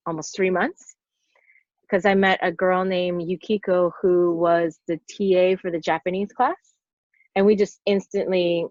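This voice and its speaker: A woman in her forties, talking as a podcast guest, who tells her stories in a loose, rambling way with fillers sprinkled throughout. The recording sounds a bit dull and lo-fi, with a dry, close sound and no room echo. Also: medium pitch. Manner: rambling storyteller, lots of "like" fillers. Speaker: podcast guest, stunt performer. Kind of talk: casual interview chat.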